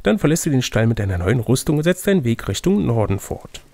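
A man's voice: mid-range voice, sing-song, quick pace, vocal fry, dark tone, no echo, clean studio sound. A man narrating an adventure gamebook as game master and chatting casually with his co-player. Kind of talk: reading a gamebook aloud, with casual banter.

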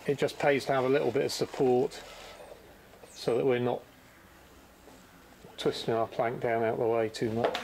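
A man's voice: medium pitch, fairly even intonation, normal speed, gravelly, long pauses. Middle-aged male instructor, easygoing and practical, recorded in a workshop with a faint background hum. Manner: easygoing, practical explaining with restarts. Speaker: boatbuilding instructor. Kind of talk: hands-on workshop demonstration. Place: boatbuilding workshop indoors.

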